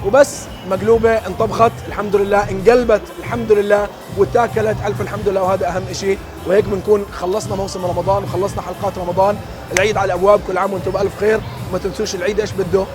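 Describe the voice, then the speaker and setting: A young man hosting a cooking vlog, talking to viewers in a brisk, excitable, joking way. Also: high-pitched. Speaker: cooking show host. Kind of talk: casual on-location cooking vlog narration. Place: busy event venue with a large outdoor cooking area. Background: background music.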